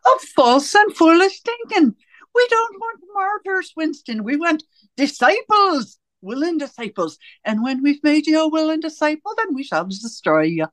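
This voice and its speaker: A woman playing a cold, domineering interrogator in a radio drama, speaking in deliberate, commanding, rhetorical questions and pronouncements. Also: high-pitched, big pitch swings, fairly bright tone, no room sound, rough recording. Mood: controlled, imperious. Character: cold, domineering party interrogator and torturer, righteous and controlling. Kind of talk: theatrical radio drama acting.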